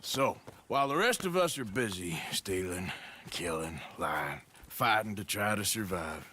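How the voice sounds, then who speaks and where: An actor playing a weary, gruff American outlaw gunslinger in a video game, with a dry, understated drawl. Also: male voice, low voice, lively intonation, unhurried, bright, forceful tone, slight background noise, full character voice. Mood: dry, teasing. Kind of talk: cinematic game dialogue and journal narration.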